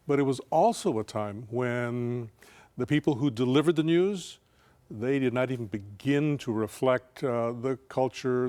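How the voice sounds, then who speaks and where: A man hosts a relaxed television chat. He talks in a loose, unhurried way, stumbling and restarting his sentences often and filling pauses with "uh" and "um.". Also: mid-range voice. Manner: relaxed, halting, many fillers and restarts. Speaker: TV show host. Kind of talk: casual interview chat.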